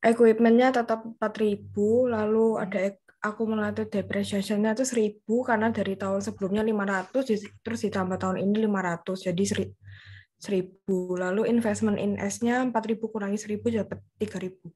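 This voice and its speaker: A woman's voice: medium pitch, fairly even intonation, a little fast, mellow, breathy edge. A young woman student explaining an exercise step by step on a video call. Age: young adult.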